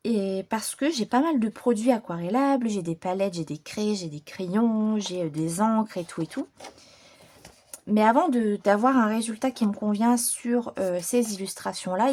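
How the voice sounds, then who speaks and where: A woman chatting informally and unscripted to her viewers as a hobby channel host, in a relaxed, rambling manner. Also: medium pitch. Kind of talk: casual unscripted chat to viewers.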